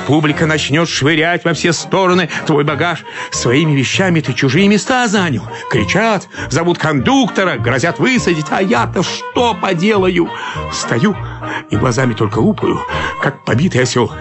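An actor playing a harried, exasperated husband in a comic radio monologue, complaining with agitated, theatrical emphasis. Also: male voice, fairly high pitch, animated. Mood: indignant, self-pitying. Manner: agitated, complaining, emphatic swoops and drawn-out words. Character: exasperated harried husband, a summer cottage commuter. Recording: studio radio play with music behind.

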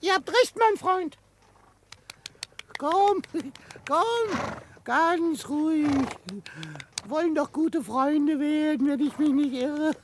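Squeaky, high-pitched, quirky old trapper. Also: male voice, slow, pauses often, slight background noise. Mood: delighted. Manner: quirky, theatrical, folksy old-fashioned speech. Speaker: actor in a radio drama. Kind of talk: German radio drama acting.

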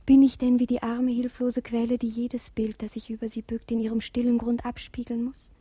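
An actress playing a young princess in a 1950s radio play, dreamy and lyrical, often sinking to a whisper. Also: female voice, fairly high pitch, completely flat delivery, a little fast, warm tone, slightly rough, no room sound. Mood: anguished. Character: melancholy young princess fleeing an arranged marriage.

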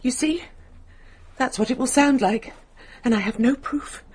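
An actress playing a frightened, secretive wife and mother, nervous and halting, in a radio drama. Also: high-pitched, darkish tone. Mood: fearful. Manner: nervous, halting, breathy urgency.

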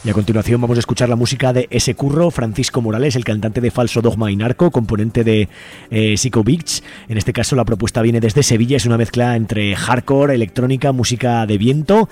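A man's voice: mid-range voice, steady tone, brisk, darkish tone. A man with an accent from Spain presenting a radio show in an informal, conversational way with hesitant phrasing. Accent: Spanish (Spain). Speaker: radio host.

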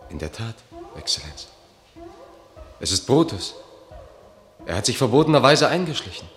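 An actor playing a deferential attendant to a dignitary in a German radio play, speaking in a formal, measured way. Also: male voice, mid-range voice, sing-song. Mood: formal, grave.

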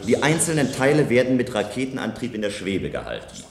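An actor playing a self-assured visionary engineer in a German science fiction radio play, speaking in measured, persuasive statements. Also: male voice, mid-range voice, huge pitch swings, vocal fry, slight background noise. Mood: assured, insistent. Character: self-assured visionary male engineer proposing a bold tower. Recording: roomy, echoing studio radio play sound.

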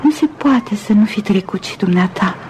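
An actress playing a dreamy, romantic upper-class woman in a Romanian radio drama, speaking softly and musingly with pauses. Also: medium pitch, expressive, background noise, lightly acted. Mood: yearning, wistful. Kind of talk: Romanian radio theatre acting.

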